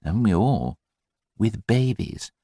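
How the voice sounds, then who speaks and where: A practical, talkative new father read by a male narrator in an audiobook. He muses aloud with dry, fretful wit. His intonation is very lively and the sound is dry. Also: fairly low pitch, slow, no room sound, full character voice. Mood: wry. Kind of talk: audiobook character dialogue.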